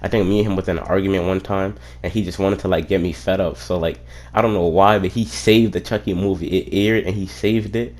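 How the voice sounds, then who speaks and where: A man's voice: sits low, fairly even intonation, fairly quick, a little mellow. A young man streamer telling a casual, rambling story, swearing freely and often stopping to say "what you call it.".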